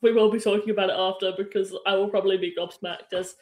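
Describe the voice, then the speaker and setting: A woman's voice: medium pitch, steady tone, fast, echoey room. A woman hosting an interview, asking long rambling questions full of "like" and "sort of". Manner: chatty, rambling questions with many fillers. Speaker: podcast interviewer. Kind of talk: casual interview chat.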